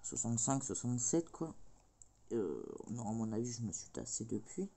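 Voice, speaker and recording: A woman's voice: very deep voice, big pitch swings, normal speed, dark tone. A middle-aged woman with a cold, chatting casually and hesitantly while crafting, full of filler sounds. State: has a cold. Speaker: craft vlogger.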